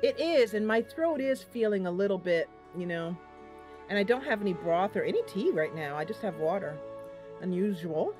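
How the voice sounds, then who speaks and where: A woman's voice: mid-range voice, sing-song, normal speed, soft background music. Chatty goofy woman streaming a game. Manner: chatty, goofy, thinks out loud. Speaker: streamer and host of a gaming channel. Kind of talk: casual live game commentary.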